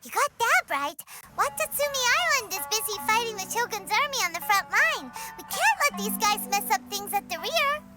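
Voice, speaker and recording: A woman voicing a tiny, eager fairy companion girl in a video game, with a squeaky childlike voice and a perky manner. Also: big pitch swings, normal speed, few pauses. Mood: confident. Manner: perky, refers to self in third person. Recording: loud music behind.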